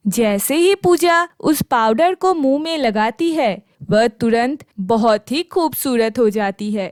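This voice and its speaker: A woman's voice: a little high, lively intonation, slow, no echo, clean studio sound. A woman narrating a scripted children's story.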